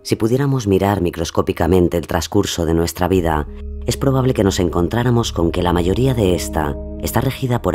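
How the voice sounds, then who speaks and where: A man from reading scripted dramatic narration solemnly, with deliberate pauses. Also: low-pitched.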